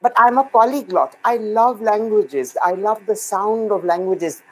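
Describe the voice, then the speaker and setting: A woman's voice: medium pitch, a little slow, a little hiss or hum, low-quality recording. A middle-aged woman with an Indian accent, a guest speaking confidently and emphatically on a video call. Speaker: guest dancer. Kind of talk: video call panel talk. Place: indoor room. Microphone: laptop webcam on a video call.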